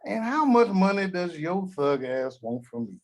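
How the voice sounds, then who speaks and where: An actor at a table read playing a boastful, crude, newly rich grandfather, talking in a slow drawl. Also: high voice, big pitch swings, a little hiss or hum, exaggerated voice.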